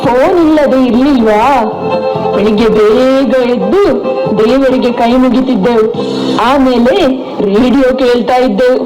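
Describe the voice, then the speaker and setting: Woman acting as a motherly old grandmother over loud music. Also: on the high side, a little slow, close to an everyday voice, low-quality recording. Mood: gently chiding. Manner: homely, motherly endearments. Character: affectionate elderly Indian grandmother, pleading and gently chiding. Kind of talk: amateur moral school play.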